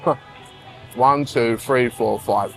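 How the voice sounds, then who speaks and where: A man's voice: mid-range voice, slow pace, gravelly, fairly bright tone, soft background music, poor audio. A man talking casually to camera as a fitness vlogger, chatty and blunt, swearing now and then. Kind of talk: casual vlog chat.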